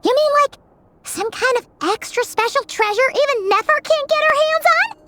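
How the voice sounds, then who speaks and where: A woman voicing a tiny, childlike, cheerful and nosy fairy companion in a video game, squeaky and bubbly. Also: normal speed, bright, forceful tone, a little hiss or hum. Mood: surprised, curious. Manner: speaks of herself in third person, bubbly. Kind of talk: English video game voice acting.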